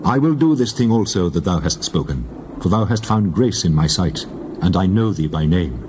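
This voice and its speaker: Man voicing a solemn, low-voiced commanding God. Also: expressive, moderate pace, noisy background. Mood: solemn, assuring. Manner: solemn, declarative, authoritative. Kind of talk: dramatized scripture reading.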